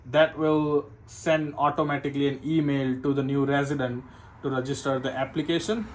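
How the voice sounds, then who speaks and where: A man giving an informal, unscripted product walkthrough as an installer, explaining step by step and often checking in with the listener. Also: on the high side. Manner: explanatory, often ends points with a checking "right?". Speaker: security system installer giving a product demo. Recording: clear background ambient noise.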